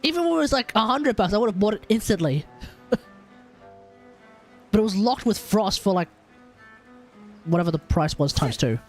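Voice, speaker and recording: A man's voice: sits high, lively intonation, moderate pace, pauses often. An Australian man streams games with casual, blunt commentary. He rambles through his opinions in a conversational way, with faint music playing behind him. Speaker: livestreamer. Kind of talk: casual live gaming commentary.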